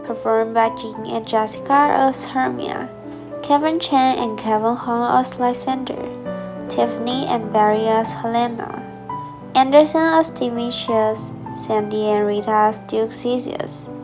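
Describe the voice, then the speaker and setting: A woman's voice: fairly high pitch, fairly even intonation, a little slow, over music. A woman narrating a radio drama from a script.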